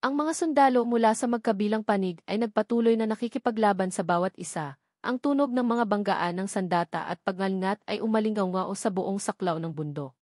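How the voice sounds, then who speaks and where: A woman narrates fiction from a script in a formal manner. She delivers it in measured, separate phrases at a middling pitch. The sound is very dry, with next to no room on it. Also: a little mellow, no room sound. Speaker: audiobook narrator.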